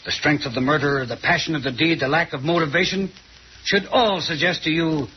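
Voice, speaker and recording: An actor playing a confident, condescending detective who lectures and teases in an old-time radio mystery. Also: male voice, on the high side, a little fast, breathy edge. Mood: triumphant, teasing. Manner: dramatic, lecturing, teasing. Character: confident, theatrical male detective, shrewd and condescending. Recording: muffled, noisy old radio recording.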